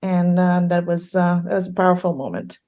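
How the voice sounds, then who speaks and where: A woman in her fifties from Eastern Quebec with a Québécois French accent, a guest telling her story in a relaxed interview, laughing often and full of fillers and restarts. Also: on the low side, a little fast. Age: 50s. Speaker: guest, ADHD coach and sleep expert. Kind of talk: casual podcast interview.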